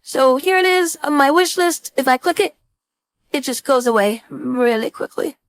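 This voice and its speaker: A woman instructor giving a casual screen-share software tutorial, thinking aloud informally as she demonstrates. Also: high voice, expressive, normal speed, no room sound. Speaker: design instructor.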